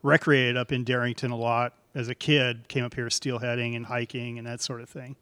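A man from Washington State, a parent and guest, introducing himself in a plain, steady way. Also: mid-range voice, brisk, clear, resonant tone, no echo, in a large hall. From Washington State, USA. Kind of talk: casual self-introduction.

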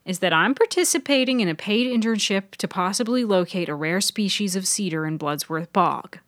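A woman's voice: medium pitch, normal speed, no room sound, clean studio sound, pitched above the performer's own voice, close to an everyday voice. A woman voicing a sweet, conspiratorial young woman intern in a fiction podcast. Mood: self-assured. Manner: sweet, hushed, knowing. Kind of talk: audio fiction character dialogue.

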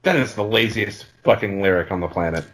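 A man's voice: lowish voice, brisk, fairly bright tone, a little hiss or hum, low-quality recording. A man co-hosting a podcast with casual banter and a blunt, sweary way of talking. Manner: blunt, profane. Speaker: podcast co-host.

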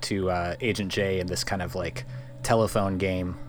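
A man's voice: low-pitched, little pitch movement, moderate pace, noisy background. A man chatting casually as a player in a tabletop game, feeling out his sentences.

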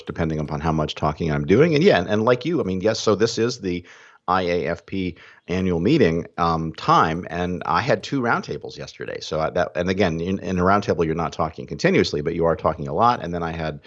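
A man co-hosting a podcast, unhurried and thinking aloud in casual chat. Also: deep voice, animated. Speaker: podcast co-host, university academic. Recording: dull lo-fi sound.

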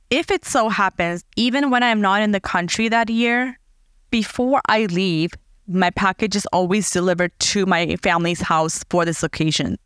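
A woman in her thirties from Fiji hosting her own podcast, speaking in an earnest, heartfelt way and slowing down to dwell on her words. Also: lowish voice, fairly bright tone, clean tone, no room sound, clean studio sound. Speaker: podcast host. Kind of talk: reflective personal monologue.

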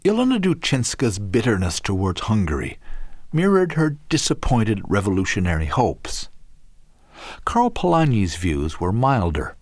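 A man reading scripted documentary narration in a measured storytelling delivery. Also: fairly low pitch, sing-song, a little mellow, no echo, clean studio sound.

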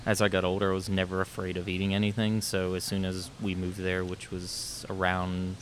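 A man's voice: low voice, monotone, fairly quick. A man in his fifties from the United States, talking casually as an interview guest and reminiscing. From US: born in New York, raised in Florida and South Carolina. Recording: clear background noise.

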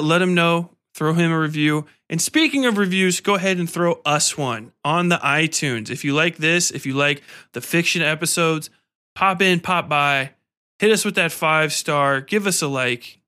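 A man's voice: a little high, expressive, normal speed, bright, forceful tone, clean tone. A man hosting a podcast in a casual, informal, slangy way. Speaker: podcast host interviewing an author.

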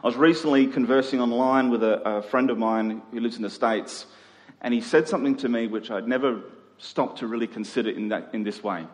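A man preaching an expository sermon to a congregation, conversational in tone with deliberate, emphatic phrasing. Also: medium pitch, a little mellow. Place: large reverberant room, live church service. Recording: reverberant hall.

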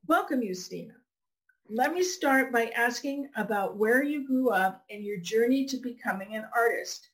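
A woman's voice: a little high, animated, moderate pace, a bit bright, poor audio. A woman podcast host reading scripted introductions and putting questions to a guest.

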